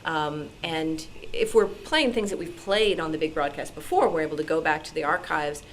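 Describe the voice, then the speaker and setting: Female radio team panelist, slightly low voice, casual explanatory talk, noisy live room. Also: normal speed. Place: club meeting room.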